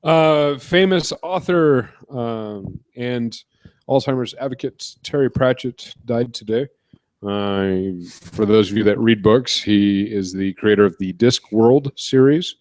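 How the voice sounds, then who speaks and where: A man's voice: mid-range voice, darkish tone, no echo. A man co-hosting a casual podcast, reading out the news in a chatty, meandering way with lots of ums, close on the mic. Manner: chatty, drawn-out with ums and uhs. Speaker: podcast co-host. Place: couch in a home studio. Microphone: close studio microphone.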